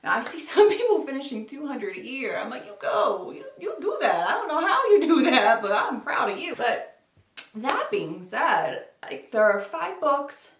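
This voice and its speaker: A woman's voice: on the high side, fairly bright tone, slight background noise. A woman chatting informally and self-deprecatingly to camera as a book vlogger. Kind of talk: casual chat to camera. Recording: roomy echo, distant microphone.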